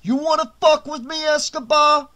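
A man acts out a bullying hotel supervisor who snarls threats at his staff. The voice sits high and hard-edged, and every line is pure contempt. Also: measured pace, fairly bright tone. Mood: menacing. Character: menacing brutish male hotel supervisor, bullying.

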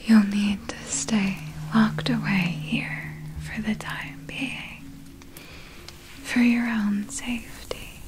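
A woman playing a tender, possessive female vampire in an ASMR roleplay, speaking in a breathy whisper with formal, archaic, lingering phrasing. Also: medium pitch, flat delivery, takes it slowly, natural voice. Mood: possessive, adoring.

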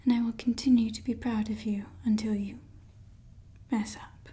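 A woman's voice: fairly high pitch, flat delivery, normal speed, warm tone, breathy edge. A woman voicing a doting, sinister female evil scientist in an ASMR roleplay, mixing fawning praise with offhand menacing asides. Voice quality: whispered. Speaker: ASMR performer.

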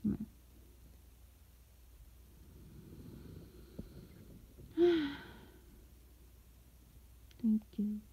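A woman playing a sleepy, pouty young streamer roommate in an intimate ASMR roleplay, mumbling drowsily with drawn-out words and affection under the grumbling. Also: medium pitch, vocal fry, slightly rough, phone-quality sound, full character voice. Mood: cozy, content. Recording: close recording with background rustling noise. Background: fabric rustling.

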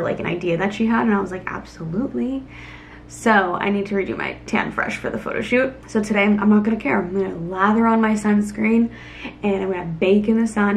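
A young woman talks straight to the camera in an upbeat, chatty way, full of "like" and quick asides. Her voice has a gravelly, fry-tinged edge. Faint room ambience sits behind her, since the camera is on a kitchen table or held at arm's length. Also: mid-range voice, a little hiss or hum. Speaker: lifestyle vlogger. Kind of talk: casual vlog talking to camera. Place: home kitchen indoors, then outdoors in a backyard. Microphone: camera on a desk, then handheld at arm's length.

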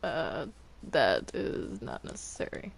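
A person chatting casually while roleplaying in a video game, with a halting delivery that often trails off. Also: mid-range voice, big pitch swings, creaky, slight background noise. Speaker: Minecraft roleplay player.

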